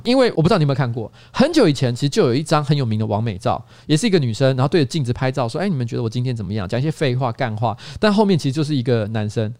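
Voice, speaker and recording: A man's voice: medium pitch, no room sound. A man with a Taiwanese accent hosting a casual, comedic chat podcast, telling stories and acting out other people's reactions. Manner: animated storyteller who acts out quoted reactions. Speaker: podcast host and local politician.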